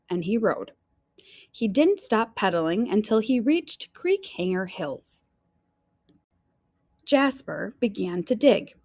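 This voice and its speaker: A woman reads a children's storybook aloud at an unhurried pace. Her delivery is lively and dramatic, lingering on important phrases, and the recording is close and dry. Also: medium pitch, no echo. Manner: dramatic, drawn-out emphasis on key phrases. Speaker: teacher reading a picture book aloud.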